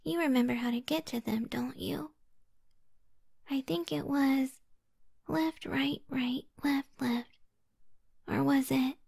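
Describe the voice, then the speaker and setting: A woman playing a creepy, lonely young occultist who loves ghosts, whispering slowly and coaxingly in an ASMR roleplay. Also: high-pitched, darkish tone, heavily acted. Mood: eerie, teasing, then musing. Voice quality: breathy, raspy whisper.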